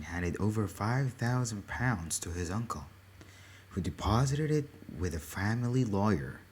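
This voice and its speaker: Story reader: unhurried, deliberate delivery, highly varied intonation, dramatic literary style. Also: a little mellow, a little hiss or hum. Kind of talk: dramatic literary read-aloud narration.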